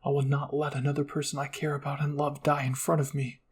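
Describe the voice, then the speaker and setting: A man's voice: fairly high pitch, monotone, fast, a little hiss or hum, natural voice. A man voicing the inner thoughts of a wounded young girl fighter, anguished and determined, in a dramatic fan fiction. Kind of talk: first-person inner monologue, ASMR fan fiction.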